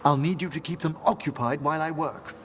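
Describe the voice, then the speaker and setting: A man's voice: fairly high pitch, brisk, a bit bright, a little hiss or hum, natural voice. A man playing a middle-aged scholarly necromancer in a video game, formal, eloquent and polite, proud of his expertise. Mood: commanding, excited.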